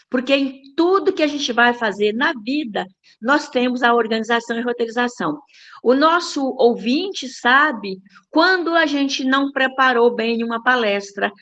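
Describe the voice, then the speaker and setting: An older woman from Brazil with a Brazilian accent, speaking as a radio guest and explaining communication in a measured, teacherly way. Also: on the high side, fairly bright tone, muffled recording. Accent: Brazilian Portuguese. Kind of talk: explanatory talk.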